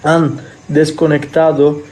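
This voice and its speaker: Mellow higher-voiced man, non-native Spanish. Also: monotone, slow. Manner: non-native Spanish speaker, sometimes searching for words; thoughtful, explains with examples. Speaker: podcast co-host. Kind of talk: casual discussion.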